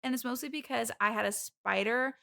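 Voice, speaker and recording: A woman's voice: fairly high pitch, a little fast, vocal fry, pushed and bright. A woman playing a needy, anxious, dramatic young woman who rambles earnestly in an improvised comedy conversation.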